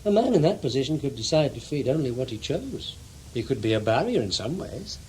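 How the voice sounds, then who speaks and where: A male actor as a blunt intelligence investigator in a radio spy drama. He is dogged and insistent, with clipped, probing delivery at a middling pitch. Some noise sits behind the voice. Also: big pitch swings, fairly quick, warm tone, natural voice, poor audio. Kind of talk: radio drama acting, dialogue and first-person narration.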